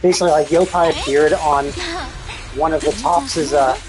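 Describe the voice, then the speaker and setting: A man's voice: a little high, fairly even intonation, moderate pace, music in the background, poor audio. A man streaming video games and chatting casually, thinking aloud in a rambling way. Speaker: video game livestreamer.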